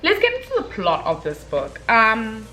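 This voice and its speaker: Expressive young woman chatting casually. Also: on the high side, moderate pace, faint music behind, poor audio. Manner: expressive, gushing, informal slangy delivery with dramatic emphasis. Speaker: book review vlogger. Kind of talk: casual chatty book review to camera.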